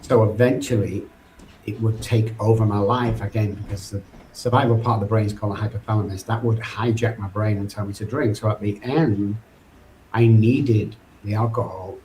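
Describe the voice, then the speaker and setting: A man's voice: lowish voice, fairly even intonation, moderate pace, a little mellow, low-quality recording. Mancunian man telling his recovery story. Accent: Mancunian English. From England. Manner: earnest, loose storytelling with restarts. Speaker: podcast guest. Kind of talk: candid personal recovery story. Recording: faint background noise.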